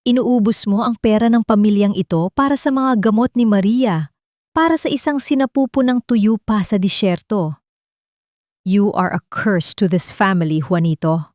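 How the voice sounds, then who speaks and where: A cruel older matriarch of a wealthy family, acted out by a woman narrator. She is scornful and commanding, with dramatic contempt in a mid-pitched voice. The audio-drama recording is very dry. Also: moderate pace, darkish tone, no room sound, natural voice. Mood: bitter contempt. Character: cruel, contemptuous older rich matriarch berating her son.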